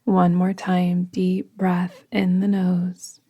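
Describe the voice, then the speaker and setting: A woman guiding a yoga nidra meditation in a soothing, gentle, deliberate manner, often trailing off into a whisper. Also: lowish voice, monotone, slightly rough. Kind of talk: guided meditation narration.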